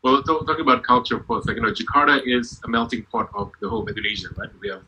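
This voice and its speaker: A man's voice: mid-range voice, very fast, bright, forceful tone, husky, dull audio. A man hosting a casual live-stream interview in a conversational style. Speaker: interview host. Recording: noisy online live-stream audio.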